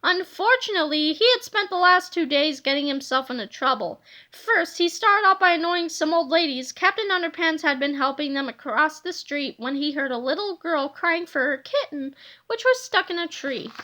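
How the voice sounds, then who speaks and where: A woman narrating a children's storybook aloud in an expressive, scripted reading style. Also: sits high, normal speed.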